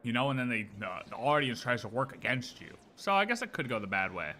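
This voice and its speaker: Animated, jokey male game streamer. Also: mid-range voice, fast, a little hiss or hum. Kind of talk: live game reaction commentary.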